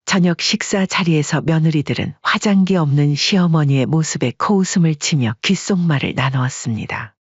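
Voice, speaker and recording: A woman narrating a scripted audio story in a calm, measured way. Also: sits low, husky, no echo, lo-fi sound.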